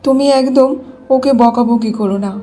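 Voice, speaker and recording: Woman playing mellow elderly grandmother. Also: fairly high pitch, moderate pace, lightly acted. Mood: gently chiding. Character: gentle elderly grandmother defending her small grandchild. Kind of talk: Bengali cartoon voice acting.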